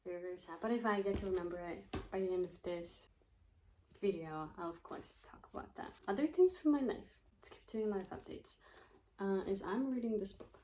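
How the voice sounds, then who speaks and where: A woman's voice: medium pitch, normal speed, darkish tone, slight background noise, poor audio. A woman in her twenties, a student vlogger, rambling casually and thinking aloud, often losing her train of thought. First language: not Russian. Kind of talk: casual chat.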